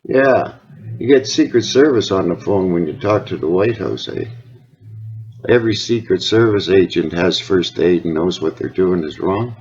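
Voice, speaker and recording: A man's voice: low voice, lively intonation, normal speed, a little mellow. A man with a Canadian accent talking earnestly and insistently on a phone call, rambling from point to point. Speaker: caller, health awareness advocate. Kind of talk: phone conversation. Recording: dull lo-fi phone call recording.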